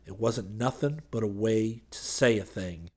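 A man's voice: fairly low pitch, a little slow, a little mellow. Guy doing a grumpy stubborn country man in an audiobook, blunt, folksy grammar, firm. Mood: stubborn, irritated. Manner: blunt, ungrammatical, emphatic.

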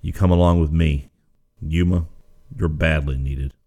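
A man reading a storybook aloud and voicing a calm, commanding masked hero, louder and firmer than his narration. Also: extremely low pitch, measured pace, exaggerated voice.

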